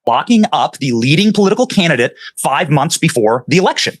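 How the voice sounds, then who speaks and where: A man's voice: a little high, very animated, a little fast. A man arguing on a debate panel, emphatic and combative, pushing on through interruptions. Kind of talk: heated televised panel debate.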